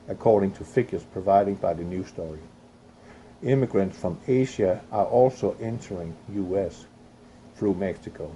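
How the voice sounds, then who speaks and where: Low, dark-voiced man from Europe. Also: a little hiss or hum, poor audio. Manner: halting reading with restarts and coughs. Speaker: commentator reading news. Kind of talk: reading news aloud with opinionated commentary.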